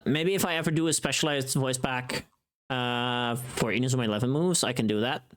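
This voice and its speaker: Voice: medium pitch, fairly quick. A livestreamer chatting casually with viewers in a playful, teasing, rambling way. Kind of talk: casual chat with live viewers.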